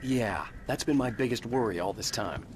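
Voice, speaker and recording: An actor playing a terse, sardonic American writer hero, narrating and talking in a video game with a dry, serious manner. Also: male voice, mid-range voice, animated, moderate pace, noisy background, lightly acted. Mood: dry, resolute. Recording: loud music behind the voice.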